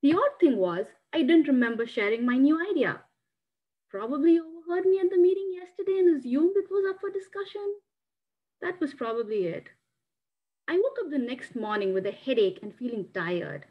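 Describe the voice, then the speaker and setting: A woman's voice: sits high, expressive, normal speed. A woman, an amateur storyteller on a video call with dull, lo-fi sound. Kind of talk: reading a written first-person story aloud.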